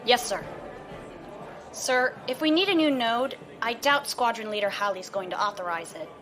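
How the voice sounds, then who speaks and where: An actress playing a friendly, loyal starship executive officer, respectful and chatty, in an audio drama. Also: female voice, on the high side, normal speed, music in the background, close to an everyday voice. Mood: concerned.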